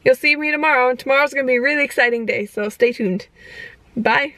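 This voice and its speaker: A young woman talking casually and candidly in a personal daily vlog, rambling a little and hoarse from a long day of talking. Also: sits high, fast talker, pushed and bright. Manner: rambling, candid, self-deprecating. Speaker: vlogger.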